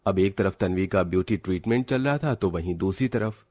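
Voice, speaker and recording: Low-voiced man narrating a story. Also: brisk, a little mellow, dry recording.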